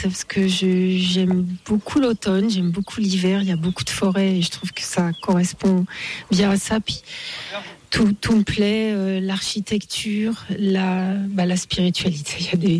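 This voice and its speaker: A woman's voice: mid-range voice, level tone, a little slow, a little mellow, slight background noise. A middle-aged woman from Paris, a guest in a casual outdoor interview at a building site, talking hesitantly with many fillers and restarts.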